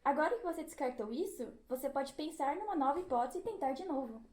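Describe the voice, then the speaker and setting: A woman plays an upbeat science guide in an educational audio drama. Her voice is high and theatrical, explaining step by step in a roomy, echoey space. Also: animated, fast talker, darkish tone. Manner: didactic, theatrical.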